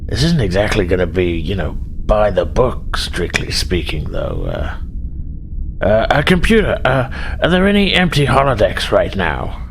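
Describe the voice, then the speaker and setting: A man's voice: on the low side, very animated, a little slow, exaggerated voice. A man voicing a nervous, awkward starship engineer in a tabletop game, stammering and hesitant. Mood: hesitant, uneasy. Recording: clear background noise.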